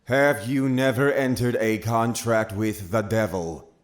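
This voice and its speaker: A man's voice: lowish voice, steady tone, unhurried, lightly acted. An actor playing a formal, probing witch-trial interrogator in an audio drama, accusatory in manner. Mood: formal accusing.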